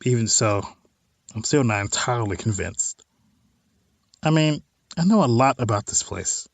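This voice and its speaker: A man's voice: mid-range voice, lively intonation, moderate pace, creaky, dry recording. A man hosting a let's play of a visual novel, reading text aloud and adding informal, wry asides. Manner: informal, wry side comments on what is read.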